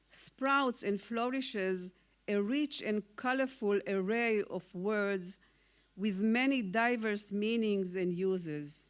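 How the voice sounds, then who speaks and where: Slow, measured woman, smooth clear voice. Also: medium pitch. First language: Hungarian. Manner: slow, measured, deliberate reading. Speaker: award-winning poet. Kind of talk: formal acceptance speech.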